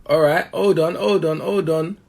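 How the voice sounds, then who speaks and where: A man's voice: on the high side, fairly even intonation, moderate pace, gravelly, a little mellow. A man hosting a live-stream interview, chatty and hyping up his audience. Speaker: interview host.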